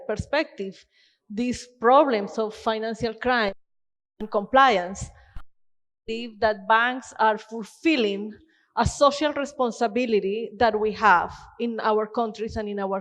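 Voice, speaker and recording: A woman from Colombia with an accent, giving an earnest, passionate conference presentation with frequent fillers. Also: a little high. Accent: Colombian-Canadian Spanish-tinged English. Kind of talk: keynote presentation. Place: conference hall. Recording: long hall reverb.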